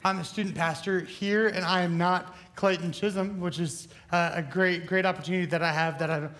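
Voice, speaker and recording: A young man preaches in a hoarse, scratchy voice, high-pitched for a man. He rambles and jokes at his own expense, stumbling through fillers and restarts as he talks to a church crowd. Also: steady tone, a little fast, a bit bright. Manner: rambling, self-deprecating, many fillers and restarts. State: hoarse from bronchitis. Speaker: student pastor. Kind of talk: casual joking sermon. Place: church hall.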